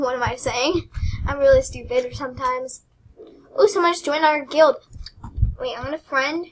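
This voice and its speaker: A young woman hosting her own game channel, chatting casually and rambling with giggles while she plays, her voice squeaky and often dropping to a mutter. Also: fairly bright tone, poor audio. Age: teens. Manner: rambling, giggly, self-deprecating. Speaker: gaming channel host. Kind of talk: casual gameplay commentary.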